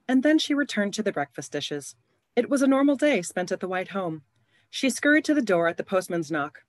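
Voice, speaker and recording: A woman's voice: on the low side, no echo. A woman narrating a radio play in a scripted storytelling style.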